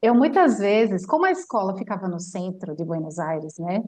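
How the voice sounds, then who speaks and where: A woman with a Brazilian Portuguese accent, a language teacher telling a lively travel story in casual chat, full of fillers. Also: mid-range voice, normal speed, a little hiss or hum. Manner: animated storyteller, chatty with many fillers.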